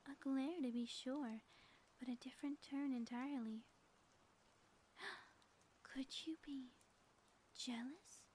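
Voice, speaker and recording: A woman's voice: sits high, steady tone, takes it slowly, long pauses, darkish tone, slight background noise. A woman playing a theatrical, gloating villainess in a scripted ASMR audio roleplay, with florid, melodramatic delivery. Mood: teasing, gloating. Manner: grandiose, florid, melodramatic phrasing.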